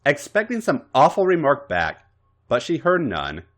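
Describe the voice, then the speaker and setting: A man narrating a story aloud in a dramatic, expressive way. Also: on the high side, normal speed. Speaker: podcast host reading fan fiction. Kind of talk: story narration with acted dialogue.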